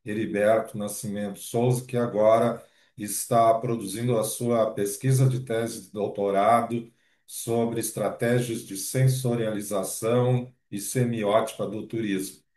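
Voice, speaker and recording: A man's voice: fairly low pitch, steady tone, a little mellow, reverberant. A man giving formal, hesitant opening remarks on behalf of a university. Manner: slow, hesitant. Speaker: university representative.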